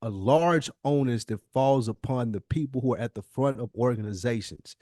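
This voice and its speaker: Guy co-hosting a podcast, mid pitch, talks a point through with rhetorical questions, very dry sound. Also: darkish tone, no room sound. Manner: emphatic explaining, rhetorical questions. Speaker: podcast co-host. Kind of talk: conversational podcast discussion.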